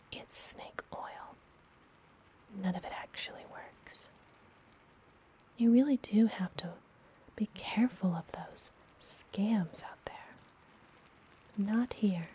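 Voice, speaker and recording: A woman playing a friendly, gentle witch healer in an ASMR fantasy roleplay, whispering softly and soothingly, as if up close. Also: medium pitch, lightly acted, poor audio. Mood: soothing, nurturing. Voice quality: soft, breathy whisper. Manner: slow, soothing, intimate personal attention. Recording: close whisper with background noise.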